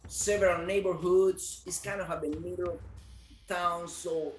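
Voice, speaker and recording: Man, slightly high voice. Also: fairly even intonation, normal speed, roomy sound. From Cuba. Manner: earnest, repeats words while searching for them. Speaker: martial arts studio owner. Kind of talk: casual interview answers.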